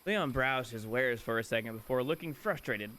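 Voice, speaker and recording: Man reading as a sarcastic young woman, lively and bright. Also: mid-range voice, moderate pace. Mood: wry. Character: Ashley, chatty sarcastic young woman narrating her story. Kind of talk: visual novel read-aloud narration.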